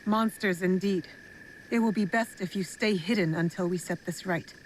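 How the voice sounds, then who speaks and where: A woman playing a resolute young female investigator in a video game drama, measured and serious. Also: medium pitch, a little hiss or hum, natural voice, rough recording. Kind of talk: dramatic game cutscene acting.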